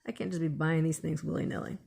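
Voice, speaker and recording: A woman's voice: sits low, little pitch movement, fast talker, gravelly, warm tone, slightly rough. A woman hosting a casual hands-on DIY tutorial, thinking aloud in an unscripted way as she works. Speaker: DIY video host.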